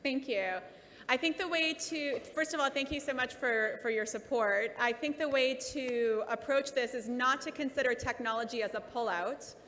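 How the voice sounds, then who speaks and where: A woman keynote speaker answering audience questions in a measured, encouraging way at a conference. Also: high voice, a bit bright. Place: large conference hall. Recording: reverberant hall, faint noise.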